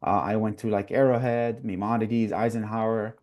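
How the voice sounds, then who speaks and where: A man's voice: on the low side, flat delivery, normal speed, a little mellow. A young man from Iraq, a new resident doctor, answers interview questions earnestly, with frequent fillers. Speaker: guest, newly matched resident.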